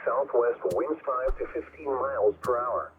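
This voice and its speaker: A man's voice: medium pitch, a little slow, slight background noise. A man reading a weather forecast bulletin as an announcer, heard through a radio speaker. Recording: narrow tinny radio with loud background chatter.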